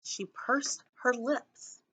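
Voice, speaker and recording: A woman teacher reading a children's story aloud in an expressive narrating style. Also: on the high side, drawn-out words, slightly rough, low-quality recording.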